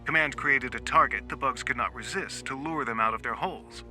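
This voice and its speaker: An actor playing a composed, calculating colonel in a video game, speaking in a formal, dry, faintly superior way. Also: male voice, medium pitch, fairly quick, pushed and bright, soft background music. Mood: smug, composed. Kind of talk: scripted video game acting.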